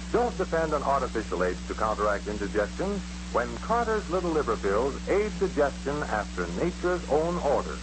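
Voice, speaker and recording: A man acting in an old-time radio commercial as a dyspeptic customer and earnest pitchman, on a vintage recording. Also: noisy background, lightly acted.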